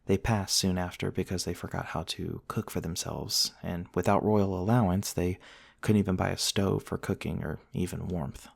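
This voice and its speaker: A man playing a gentle, courteous centuries-old vampire prince in an intimate ASMR roleplay, soft-spoken and formal, with hesitant pauses. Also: sits low, steady tone, gravelly, slightly rough, dry recording, clean studio sound, exaggerated voice. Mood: wry, matter-of-fact. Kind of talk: intimate ASMR roleplay, storytelling to one listener.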